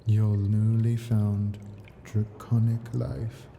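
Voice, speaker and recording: A man playing an ancient, possessive and imperious yet doting male dragon in an intimate ASMR roleplay, murmuring deliberately and sometimes dropping to a whisper. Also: fairly low pitch, level tone, gravelly, dark tone, soft background music. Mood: stern, menacing. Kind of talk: ASMR roleplay, slow intimate character acting.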